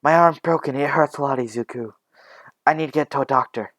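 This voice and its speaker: A man's voice: mid-range voice, slow, no room sound, voice pushed up. A man voicing an injured, frightened woman caregiver in a told story, stammering and crying out in pain. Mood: pained, pleading. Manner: stammering, breathless outcries. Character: injured female caregiver, terrified, pained, accusing a child.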